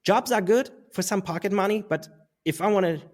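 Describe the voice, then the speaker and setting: Guy in his 30s, talks kinda high, rambles and laughs a lot. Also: brisk, darkish tone. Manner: rambling, restarts, laughs often. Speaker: guest. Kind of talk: casual interview conversation.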